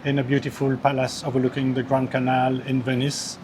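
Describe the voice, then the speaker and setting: A man interviewing a guest at a conference, polite and halting with many fillers. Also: medium pitch, monotone, over a noisy crowd. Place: outdoors in a Venice palace by the canal. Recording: clear background noise outdoors.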